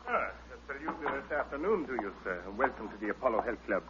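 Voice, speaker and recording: Pompous radio doctor, swooping delivery. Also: male voice, mid-range voice, very animated, fairly quick, gravelly. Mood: unctuous, grandiose. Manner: grandiloquent, florid, long-worded. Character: pompous, unctuous health club doctor and owner. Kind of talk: old-time radio character acting. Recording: muffled noisy vintage radio recording.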